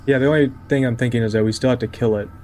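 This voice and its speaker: A man's voice: medium pitch, steady tone, very fast, a little mellow, slight background noise. A man talking casually as a player in a tabletop role-playing game. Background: background music.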